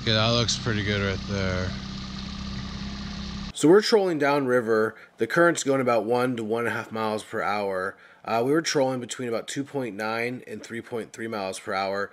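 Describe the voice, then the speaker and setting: A man's voice: lowish voice, normal speed, a bit bright. A man in his thirties casually narrating a fishing vlog from a boat on a river, matter-of-fact and laid-back. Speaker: fishing vlogger. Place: outdoors on a small motorboat on a river. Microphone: action camera at arm's length or mounted on the boat. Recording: noisy outdoor action-camera audio.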